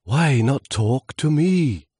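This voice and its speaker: A man voicing a mighty, kindly wind in a children's story, with swooshing, drawn-out words that encourage. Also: medium pitch, animated, warm tone, slightly rough, dry recording, studio quality, voice pushed up. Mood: encouraging.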